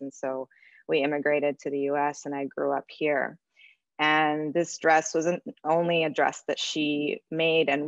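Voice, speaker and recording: A woman's voice: deep voice, no echo. A woman born in Russia and raised in the U.S., giving a thoughtful, chatty online lecture as a guest artist over a video call, with frequent ums. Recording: dull lo-fi video call audio.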